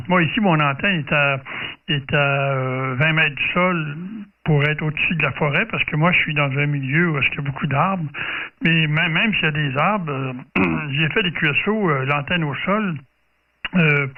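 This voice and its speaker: Québécois radio amateur, tinny and distant. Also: male voice, a little high, normal speed. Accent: Quebec French. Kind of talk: casual radio contact chat. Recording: tinny band-limited radio audio, distant from mic.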